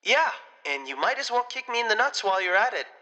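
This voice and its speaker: A man's voice: high-pitched, very animated, fast talker, pushed and bright, muffled recording, exaggerated voice. A man playing a whiny, indignant, melodramatic member of a biker crew in a comedic audio drama. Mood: wounded, indignant.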